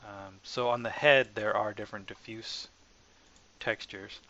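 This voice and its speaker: A man narrating a casual software tutorial as the developer, informal and hesitant, as if thinking aloud. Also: lowish voice, steady tone, measured pace, creaky. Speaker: software developer presenting own asset package. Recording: dull lo-fi mic with faint background noise.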